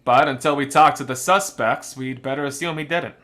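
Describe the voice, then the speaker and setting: An actor playing a brisk, wry policeman in a radio murder mystery, talking in a conversational, emphatic way. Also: mid-range voice, a bit bright, lightly acted. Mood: matter-of-fact. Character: brisk, wry policeman briefing an inspector on suspects.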